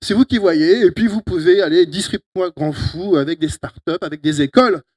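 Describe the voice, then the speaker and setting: A man from Italy, giving a lively closing talk at a conference into a handheld microphone, joking and addressing the audience directly. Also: high voice, moderate pace. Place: conference room with a live audience. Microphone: handheld microphone, walking around.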